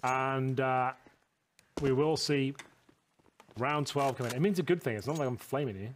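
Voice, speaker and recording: A man casting an esports match, breaking down tactics quickly with a joking, bantering manner. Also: medium pitch. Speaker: esports caster. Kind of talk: analytical commentary with banter. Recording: noisy broadcast with game sounds.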